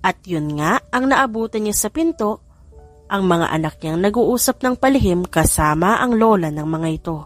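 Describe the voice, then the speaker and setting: A woman's voice: medium pitch, lively intonation, moderate pace, soft background music. A woman giving scripted narration of an audiobook story.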